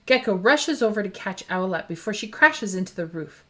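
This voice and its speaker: A woman reading a storybook aloud for young children in an animated, expressive storytelling style. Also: mid-range voice, moderate pace. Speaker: children's book reader.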